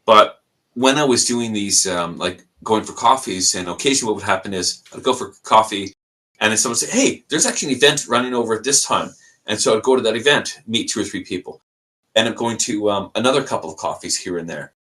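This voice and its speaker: A man's voice: on the low side, fairly quick. A young Canadian man with a Canadian accent, a podcast guest casually telling his story and acting out the people in it. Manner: animated, acts out quoted people in his stories.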